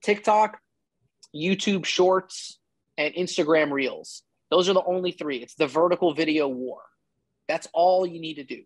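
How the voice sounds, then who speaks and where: A man's voice: on the high side, measured pace, no room sound. A man in his thirties giving casual, emphatic and blunt advice as a coach on a one-on-one video call. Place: indoor room, video call.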